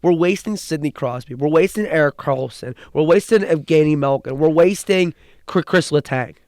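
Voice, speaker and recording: A man's voice: on the high side, moderate pace, a little mellow, no room sound, clean studio sound. Young man with a stutter. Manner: earnest, with restarts and repeated words. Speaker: podcast co-host. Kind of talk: casual sports chat.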